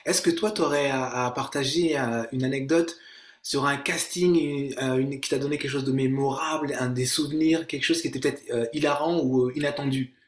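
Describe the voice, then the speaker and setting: A man interviewing an actor, asking halting, hesitant questions. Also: mid-range voice, fairly even intonation. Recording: roomy, off-mic.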